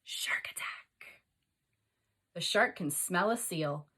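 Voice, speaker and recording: A woman's voice: lowish voice, animated, slow pace, bright, forceful tone, husky, whispered. A woman teacher reading aloud and explaining to young children, instructing them with questions and prompts. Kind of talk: expressive read-aloud and lesson for young children.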